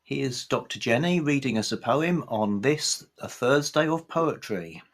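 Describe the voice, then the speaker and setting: Creaky-voiced man with lively pitch. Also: a little slow. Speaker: host filming the reading. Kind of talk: casual chat.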